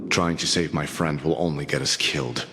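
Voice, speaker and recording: A man's voice: very deep voice, normal speed, background noise. An actor playing a blunt, fatalistic male bodyguard in a video game. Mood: grim, blunt. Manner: blunt, grimly resigned. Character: gruff, fatalistic male bodyguard, coolly pragmatic.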